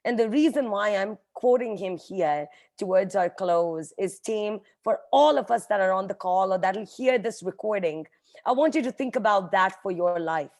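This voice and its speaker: A woman's voice: medium pitch, normal speed, a little mellow. She is a native Hindi speaker with a mid-range voice, speaking as a guest on a group call. Her delivery is earnest and emphatic, like a motivational address, and she speaks straight to her team. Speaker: guest speaker, company vice president.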